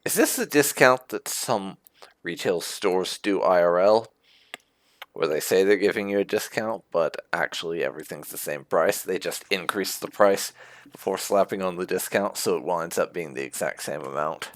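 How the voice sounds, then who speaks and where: A man's voice: low voice, moderate pace, dry recording. A man giving casual let's play commentary as a gaming host, musing to himself with dry asides.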